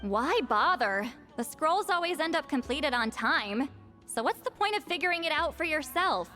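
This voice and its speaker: Haughty high-voiced shaman, animated. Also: normal speed, full character voice. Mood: exasperated, grudging. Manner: brusque, condescending. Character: blunt, haughty, irritable female shaman granny with youthful voice. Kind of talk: video game voice acting. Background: background music.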